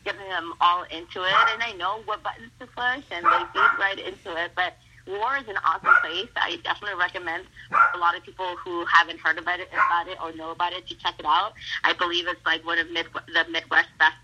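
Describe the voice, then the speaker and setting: A woman's voice: on the low side, fairly even intonation, fast, heavy background noise. A young woman from Mexico whose first language is Spanish, a pro wrestler chatting upbeat and gushing in a casual interview, full of "like" and "awesome". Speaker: professional wrestler.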